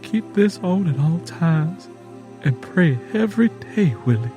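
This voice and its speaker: A man voicing an earnest pleading mother, pitched high. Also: animated, unhurried, dark tone, soft background music. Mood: tender, urgent. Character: anguished praying mother, loving Southern grandmother.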